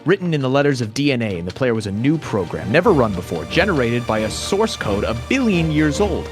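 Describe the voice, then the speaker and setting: A man's voice: mid-range voice, sing-song. Theatrical man reading over music. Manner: theatrical, solemn, with long deliberate pauses. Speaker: dramatic reader. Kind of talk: dramatic poem reading, storytelling narration. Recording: voice laid over background music.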